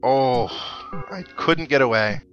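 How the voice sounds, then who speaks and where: A man with a medium-pitched voice hosts a casual gaming playthrough. He muses aloud in a rambling way and reads text off the screen. Game music plays clearly behind his voice. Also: slow, lo-fi sound. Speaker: let's play host. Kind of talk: casual gameplay commentary. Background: video game music.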